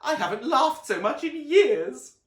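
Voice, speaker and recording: A man's voice: extremely high pitch, very animated, a little slow, a bit bright, roomy sound, pitched above the performer's own voice, heavily acted. A man voicing a cheery, gushing mother with an effusive, exclaiming manner in a children's storybook.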